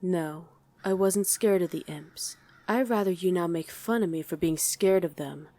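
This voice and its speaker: A woman's voice: fairly low pitch, unhurried, mellow, no echo, close to an everyday voice. A woman playing a wry, easily irritated demon in a conversational ASMR roleplay, chatting and bickering with a partner. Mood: wry, defensive. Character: female demon on mortal-hunting duty, wry, easily irritated. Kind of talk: ASMR roleplay acting to an unheard listener.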